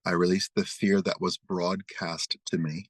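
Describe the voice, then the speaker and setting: A man leading a guided meditation and prayer, speaking slowly and soothingly as he leads spoken affirmations. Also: sits low, level tone, no room sound. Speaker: spiritual meditation leader.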